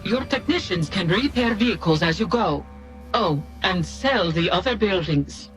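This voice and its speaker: An actor playing a brisk, loyal military officer briefing a general in a strategy game, clipped and businesslike. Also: male voice, sits high, expressive, a bit bright. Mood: brisk, encouraging. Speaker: military adviser briefing the player. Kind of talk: scripted game mission briefing. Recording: game music behind the voice.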